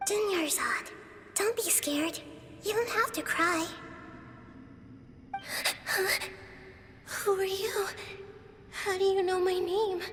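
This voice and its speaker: A woman voicing a small, innocent, kind girl in a fantasy game, with a gentle, childlike manner. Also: very high voice, full character voice. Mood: gentle, curious. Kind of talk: game dialogue. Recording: long reverb, faint background noise.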